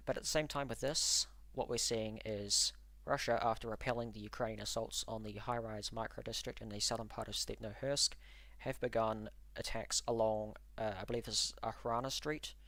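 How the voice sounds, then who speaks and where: A man hosting a live stream, walking through frontline maps and answering chat in an analytical, measured way with frequent ums and uhs. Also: lowish voice, fairly bright tone, no room sound. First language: English. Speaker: livestream host and war mapper.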